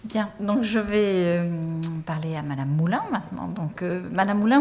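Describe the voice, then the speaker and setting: A woman's voice: medium pitch, slight background noise. A woman moderating a conference round table, fluent but with frequent fillers as she introduces the next speaker.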